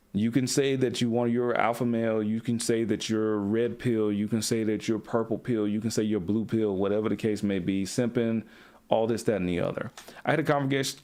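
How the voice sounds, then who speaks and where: A man's voice: on the low side, darkish tone. A man coaching young men in a blunt, profane, preachy talk aimed at the camera. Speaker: men's coach. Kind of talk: direct-to-camera motivational talk.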